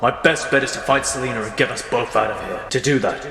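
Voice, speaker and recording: A man voicing a grim, commanding vigilante detective, terse and stern, in a low hushed growl in an audio story. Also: fast talker, gravelly, reverberant, in a large hall, close to an everyday voice. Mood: grim, focused. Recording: music bed under the voice.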